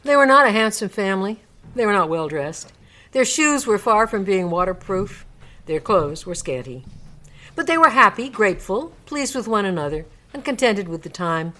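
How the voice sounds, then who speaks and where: A woman's voice: mid-range voice, big pitch swings, moderate pace, pushed and bright. A woman narrator reading a classic story aloud in a dramatic scripted storybook style. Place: radio studio.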